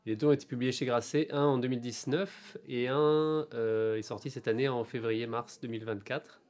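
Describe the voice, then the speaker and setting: A man in his forties from France, an author talking about his books in a bookshop interview, thoughtful and hesitant, with many pauses and fillers. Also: medium pitch, fairly even intonation, a little mellow. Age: 40s.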